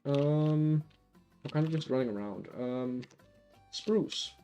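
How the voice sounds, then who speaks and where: Finnish man, Finnish accent, casual game stream chat, rambling, warm mellow voice, faint background music. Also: medium pitch, takes it slowly, clean tone. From Finland. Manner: rambling, lots of restarts and fillers. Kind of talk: casual live-stream chat while gaming.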